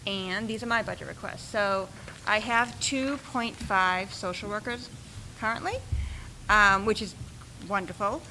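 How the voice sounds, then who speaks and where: A soft-spoken woman, a school principal, explaining schedules and budget requests at a meeting, with frequent pauses and ums. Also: medium pitch, noisy background. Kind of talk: presenting at a school board meeting.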